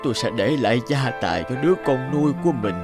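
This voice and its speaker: A man plays an old cartoon father, commanding and deliberate, with a stern streak over real kindness. His mid-pitched voice sits over a prominent music bed. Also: expressive, natural voice. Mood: weak, solemn. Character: stern old father, testing and finally kind. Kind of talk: Vietnamese animated folk tale dialogue.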